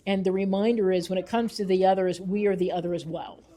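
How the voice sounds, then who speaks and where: Woman researcher with a mellow, earnest delivery. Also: medium pitch, fairly even intonation, fast. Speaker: researcher and author being interviewed. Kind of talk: thoughtful interview talk.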